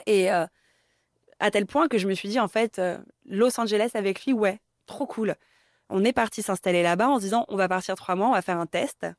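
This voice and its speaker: A woman's voice: medium pitch, fairly quick, no echo, clean studio sound. A woman in her thirties from Paris, speaking candidly in a conversational way as an interview guest. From Paris, France. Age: 30s. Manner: candid, conversational, many fillers.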